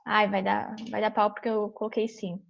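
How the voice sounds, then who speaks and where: A woman's voice: medium pitch, little pitch movement, moderate pace, creaky. A young woman from São Paulo with a Brazilian accent, explaining a screen-share tutorial in a chatty way, full of fillers and restarts. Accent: São Paulo Brazilian Portuguese. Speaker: vlogger walking through a visa application. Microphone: webcam on a computer. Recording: dull lo-fi webcam audio.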